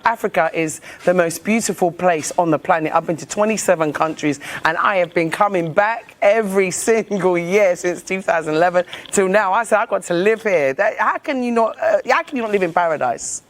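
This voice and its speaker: A woman's voice: lowish voice, a little fast, hardly any pauses. Emphatic English-born woman interviewee. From England. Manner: emphatic, repeats points for effect, laughs readily. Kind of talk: casual interview chat.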